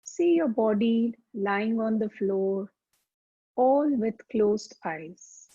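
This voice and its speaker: A woman teaching yoga as a slow guided meditation, speaking calmly and deliberately with soothing pauses. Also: on the high side, breathy edge. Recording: dull, muffled lo-fi sound.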